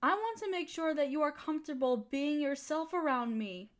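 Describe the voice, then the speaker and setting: A woman's voice: high voice, animated, normal speed. A woman playing an affectionate, teasing girlfriend in an intimate comfort roleplay, soothing and cooing as she pampers her partner. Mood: reassuring. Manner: cooing, soothing pet-talk. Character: affectionate, teasing, nurturing girlfriend of a fox spirit. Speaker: audio roleplay voice performer.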